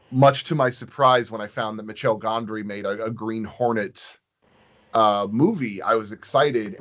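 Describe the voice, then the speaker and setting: A man chatting casually as an interview guest, halting and often circling back to rephrase his thoughts. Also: mid-range voice, lo-fi sound. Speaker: podcast guest, sound designer.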